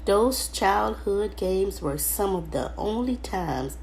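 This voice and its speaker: Woman, children's read-aloud, slow delivery, soft-edged mellow tone, slightly halting, faint background noise. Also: medium pitch, animated, creaky, rough recording. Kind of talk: storybook read-aloud narration, first person.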